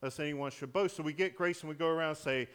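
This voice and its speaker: A man, a deacon, preaching a sermon in a church with a big voice that swings from loud to hushed, speaking into a microphone he wears. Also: fairly high pitch, quick pace, clean tone. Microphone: wearing a microphone.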